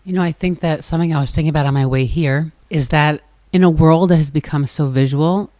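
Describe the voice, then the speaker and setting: A woman in her thirties with a calm, soft-spoken voice, hosting a live podcast conversation in front of an audience. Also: sits low, brisk, no room sound. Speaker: podcast host. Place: live event before an audience.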